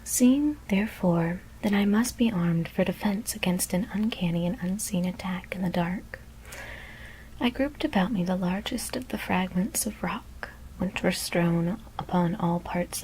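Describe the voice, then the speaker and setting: A woman whispering a gothic horror story as ASMR narration, hushed and deliberate, with careful pauses. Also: lowish voice, slight background noise, low-quality recording.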